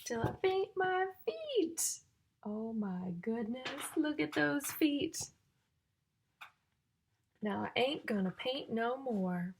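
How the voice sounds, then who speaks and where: A woman reading a picture book aloud to young children in a sing-song, exaggerated way, pausing to ask and explain like a teacher. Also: high-pitched, very animated, vocal fry, slight background noise. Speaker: children's story-time reader and teacher.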